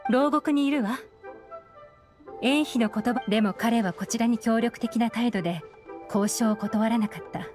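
A woman's voice: fairly high pitch, moderate pace, close to an everyday voice. A woman playing a self-assured, sly young intelligence agent in an anime game, composed, teasing and knowing. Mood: composed explaining. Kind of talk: Japanese anime game voice acting. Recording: music behind the voice.

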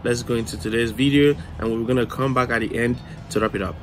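A man's voice: medium pitch, steady tone, fast. A young man hosting an electronics channel, talking through a project demonstration in voice-over. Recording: music behind the voice. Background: music, radio playing.

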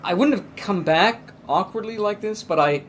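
A middle-aged man playing an awkward, panicky man in an improvised soap opera, halting and apologetic. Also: sits high, slight background noise, close to an everyday voice. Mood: awkward, nervous. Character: awkward, panicky man after a one night stand.

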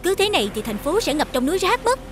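A woman voicing a caring, encouraging superhero mother in an animated series. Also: very high-pitched, fast talker. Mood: concerned. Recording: music under the voice.

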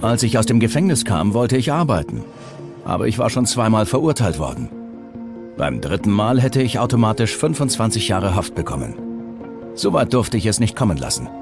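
Over background music, a reckless gambler and ex-convict telling his own story for a documentary. His voice sits fairly low and moves around in lively swings. He sounds like a man confessing with some regret. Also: a little fast. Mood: matter-of-fact, self-justifying. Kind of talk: documentary voice-over dub, first-person account.

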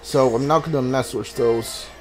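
A man's voice: mid-range voice, steady tone, moderate pace, poor audio. A man giving casual live playthrough commentary, rambling hesitantly as he thinks aloud. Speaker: game streamer. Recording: faint background noise from game ambience. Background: video game ambience.

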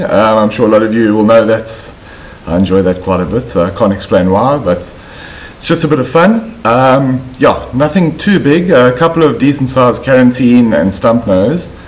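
A middle-aged South African man talking casually to the camera as a fishing vlogger, relaxed and chatty with plenty of fillers. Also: medium pitch, low-quality recording. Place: outdoor covered balcony, then an indoor living room.

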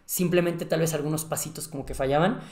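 Mexican guy hosting a podcast, slangy and animated, kinda high voice, roomy sound. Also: fast. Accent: Mexican Spanish. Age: 30s. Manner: animated, gestures a lot, slangy. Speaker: podcast host. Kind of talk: casual chat. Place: living room with a fireplace.

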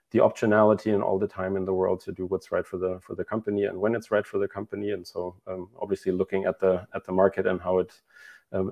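Gravelly-voiced man on a video call. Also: deep voice, mellow. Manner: hesitant, many restarts and fillers. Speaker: company CEO, guest. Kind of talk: remote interview answers. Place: bright room at home, indoors.

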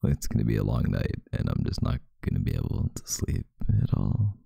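A young man doing an intimate ASMR boyfriend roleplay as a sleepy, affectionate, teasing partner in bed, murmuring softly and drowsily close to the microphone. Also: very deep voice, huge pitch swings, a little fast, dry recording. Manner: soft, drowsy murmuring, partly breathy and whispered. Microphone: close microphone, dry sound.

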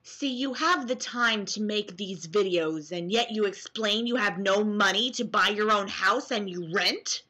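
A young American woman reacting casually to comments she reads aloud, sarcastic and animated, at home on a webcam mic. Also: mid-range voice, a little slow, hardly any pauses, husky, poor audio. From New Jersey, US. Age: young adult. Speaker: vlogger. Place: small room at home, indoors.

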